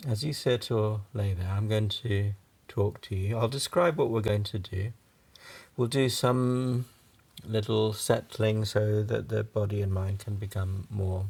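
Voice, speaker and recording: Man, meditation guide, very gravelly creaky voice, husky, gentle, reassuring, long pauses. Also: fairly low pitch. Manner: unhurried, halting phrases with long pauses. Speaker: yoga nidra meditation guide.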